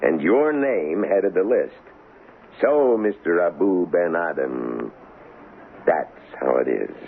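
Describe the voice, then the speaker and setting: Gravelly, very deep-voiced political fixer. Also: male voice, expressive, slow, exaggerated voice. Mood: rousing, persuasive. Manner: glib, wheedling salesman's patter, wry. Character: shrewd, folksy male political kingmaker, cynical and pushy. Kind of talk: old-time radio drama acting. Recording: muffled, noisy old radio broadcast.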